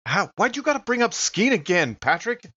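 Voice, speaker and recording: A man's voice: high voice, sing-song, fairly quick, sharp tone, muffled recording. A man talking casually as a player at a tabletop role-playing game.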